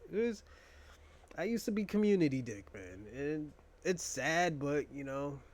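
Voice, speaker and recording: High-voiced young man riffing profanely. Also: lively intonation, a little slow. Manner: profane, rambling, joking. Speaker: podcast host. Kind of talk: casual monologue.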